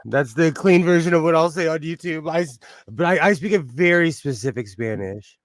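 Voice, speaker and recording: A middle-aged man hosting a casual live stream, chatting and laughing often with quick asides. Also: fairly high pitch, no room sound, clean studio sound. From Mexican-American family. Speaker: livestream host.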